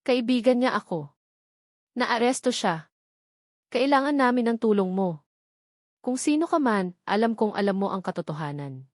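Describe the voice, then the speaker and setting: A woman's voice: a little high, expressive, moderate pace, pauses often, a little mellow, dry recording, studio quality, close to an everyday voice. Woman reading as an earnest, protective young man. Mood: urgent, pleading.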